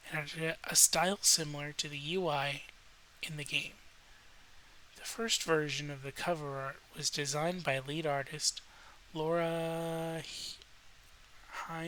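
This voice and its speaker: A man with a slightly rough voice, rambling in a relaxed, hesitant late-night style with frequent pauses, as a video host. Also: a little high, rough recording. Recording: noticeable faint background hiss.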